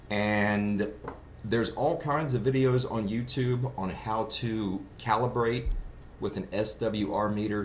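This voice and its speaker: A man giving a casual, unscripted gear review in a rambling, explanatory way, like a hobbyist who is new to it. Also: on the low side. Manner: rambling, explanatory, self-described novice. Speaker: product reviewer. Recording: roomy echo, clear background noise.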